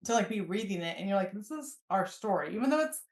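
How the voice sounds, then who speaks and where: An American woman in her fifties hosts a relaxed, chatty interview. Her pitch is average for a woman. The recording is clearly roomy, with audible echo. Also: fast. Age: 50s. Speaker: podcast host. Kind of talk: casual conversational interviewing. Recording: roomy, echoey sound.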